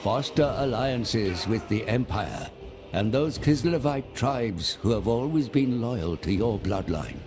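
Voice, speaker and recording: An actor playing a mysterious, scheming advisor who narrates a video game cinematic in a grave, formal, deliberate manner. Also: male voice, medium pitch, expressive, background noise. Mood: grave, counselling.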